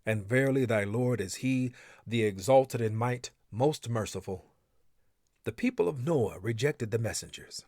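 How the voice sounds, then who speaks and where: A man reading scripture aloud in English in a solemn, measured and deliberate way, with dramatic phrasing. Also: medium pitch, expressive, a little mellow, dry recording, studio quality. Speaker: reader of a scripture translation.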